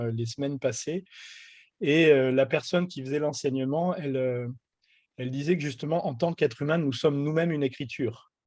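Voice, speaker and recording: A man's voice: mid-range voice, darkish tone, no room sound. A man with a French accent hosting an interview, asking loosely phrased, friendly questions with fillers. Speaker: podcast host. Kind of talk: casual interview.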